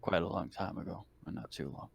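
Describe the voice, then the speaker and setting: A man playing a gruff, world-weary military medic in a tabletop role-playing game, talking in a dry, laconic way. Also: deep voice, fairly quick, slight background noise. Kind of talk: improvised tabletop role-play.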